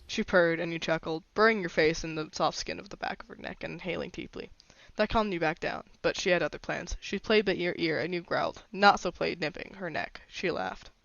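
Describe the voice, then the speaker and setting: A woman's voice: fairly low pitch, brisk, creaky, fairly bright tone, no echo. A woman reading a fan fiction story aloud as scripted narration, on a slightly dull recording.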